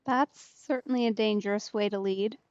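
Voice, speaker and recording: A woman's voice: mid-range voice, steady tone, moderate pace, a little mellow, no room sound. A woman giving casual let's play commentary as she streams a story game. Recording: slightly dull, band-limited stream audio.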